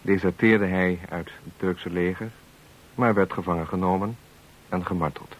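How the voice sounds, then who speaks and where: A man giving a formal, measured, solemn scripted introduction to a radio play as its announcer. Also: low-pitched, steady tone, poor audio. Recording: hissy old radio recording.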